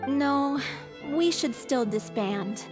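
High-voiced, weary young woman. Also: lively intonation, slow, in a large hall, over music. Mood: firm. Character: frail, weary young theater troupe woman. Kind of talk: anime game voice acting.

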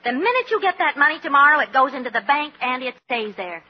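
High, lively, sensible radio housewife. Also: female voice, fast. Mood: firm. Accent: American. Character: sensible, firm wife, patient but exasperated. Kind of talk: 1940s radio sitcom acting. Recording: dull 1940s radio recording, studio audience.

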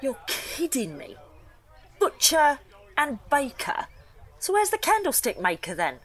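A woman's voice: high-pitched, huge pitch swings, a little slow, a bit bright, heavily acted. A woman playing a cheeky, slightly dim young crook's sidekick in an audio comedy, flippant and colloquial. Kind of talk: audio comedy drama acting.

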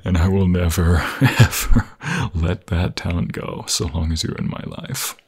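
Man acting a breathy, low-voiced, lovestruck vampire fiancé. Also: darkish tone, exaggerated voice. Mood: adoring, emotional. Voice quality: breathy, husky, often whispering. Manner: halting, sentimental, flowery romantic phrasing. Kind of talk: intimate ASMR boyfriend roleplay.

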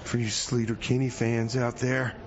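An actor playing an easygoing male radio host stuck in jail, halting, rambling and folksy in a live comedy show. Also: medium pitch, flat delivery, lightly acted. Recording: muffled radio, noisy background.

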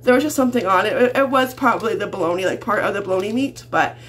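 A woman's voice: mid-range voice, fast talker, fairly bright tone. A middle-aged woman chatting casually and in a rambling way to camera as a vlogger. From Canada. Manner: rambling, chuckles often. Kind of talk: casual chatty grocery haul vlog. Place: small home kitchen, indoors. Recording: noisy home kitchen camera audio.

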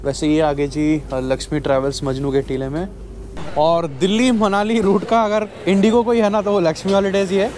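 A man's voice: high voice, fairly even intonation, normal speed, darkish tone, slight background noise. A man, narrating a casual travel vlog into a handheld camera. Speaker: travel vlogger, host. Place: out of doors at night and aboard a bus.

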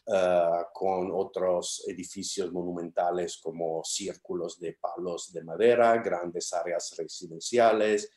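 Deep-voiced man lecturing over video. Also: darkish tone, roomy sound. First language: Italian. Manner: hesitant, many fillers and restarts. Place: home study with bookshelves. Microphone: video call webcam.

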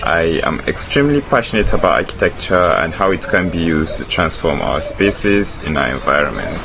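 A man from Nigeria speaks earnestly in a very deep voice. The audio is dull, with clear ambient noise behind him. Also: very animated, normal speed. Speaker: student. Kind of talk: self-introduction.

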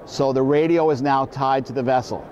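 A man, explaining things informally as an expert interview guest in a halting way, repeating words. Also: medium pitch, fairly even intonation, a little mellow. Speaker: boating program manager, expert guest. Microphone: lapel mic. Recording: slightly dull, faint background noise.

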